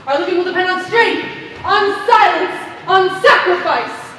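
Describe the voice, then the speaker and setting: A woman acting as an imperious committee president, very high and bright of voice. She delivers scheming, pompous speeches with grand theatrical deliberation on stage, heard from a distance in a reverberant hall. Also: unhurried, heavily acted. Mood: solemn, grandiose. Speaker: stage actor. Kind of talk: live one-act stage play acting. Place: indoor theater stage, recorded from afar. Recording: echoey theater hall, distant mic, faint noise.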